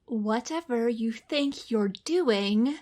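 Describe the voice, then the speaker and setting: An actress playing a cold, calculating rich woman in a noir audio drama, with a measured, condescending and formal manner. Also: fairly high pitch, darkish tone. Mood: icy, threatening. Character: cold, haughty, calculating rich femme fatale.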